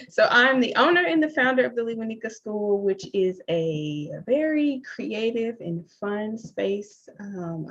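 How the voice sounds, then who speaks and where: A woman's voice: medium pitch, breathy edge. A woman from Mississippi, the school's owner hosting a live online open house in a chatty, upbeat way with lots of "um" and "like.". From Jackson, Mississippi, USA. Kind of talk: casual live presentation. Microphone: video call. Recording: slightly dull lo-fi call audio.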